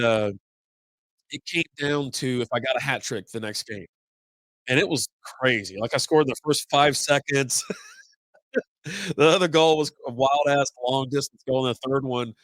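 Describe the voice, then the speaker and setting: A middle-aged man hosting a beer podcast live stream, congested from a cold with a slightly raspy voice, rambling along in loose casual chat with some mild swearing. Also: mid-range voice, a bit bright, dry recording.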